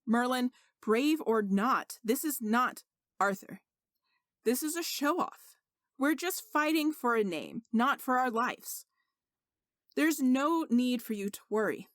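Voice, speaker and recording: A woman's voice: fairly high pitch, expressive, slow, fairly bright tone, dry recording, studio quality. A woman voicing an indignant young male servant who is secretly a sorcerer, speaking earnestly and insistently in a story reading.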